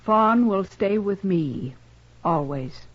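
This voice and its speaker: A woman's voice: mid-range voice, lively intonation, slow pace. Guarded, earnest frontier woman. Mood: resolute. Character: guarded, earnest woman returned from long captivity. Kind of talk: 1950s radio drama acting. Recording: dull vintage radio recording.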